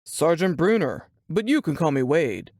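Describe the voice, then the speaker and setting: A woman voicing a terse, low-key male police detective with a gravelly voice in an audiobook. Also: big pitch swings, normal speed, a little mellow, no echo, studio quality, full character voice. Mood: restrained. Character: male police detective, an old high school sweetheart. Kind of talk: audiobook character dialogue.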